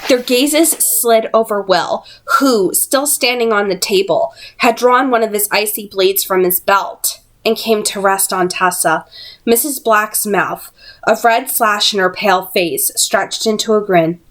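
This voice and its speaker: A woman reading fiction aloud as an amateur audiobook narrator, in an expressive storytelling style. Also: medium pitch, unhurried.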